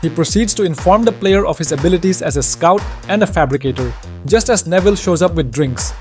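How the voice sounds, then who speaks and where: A man's voice: on the high side, animated, a little fast, a little mellow. A man reading scripted storyline narration as the narrator. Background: faint music.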